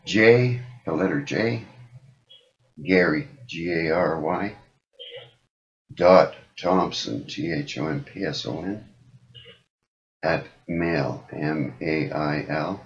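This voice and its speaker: A man's voice: extremely low pitch, slow, pauses often. An insistent, rambling man making an informal complaint over the phone as a caller. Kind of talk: phone call, informal advocacy talk. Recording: dull lo-fi phone call recording.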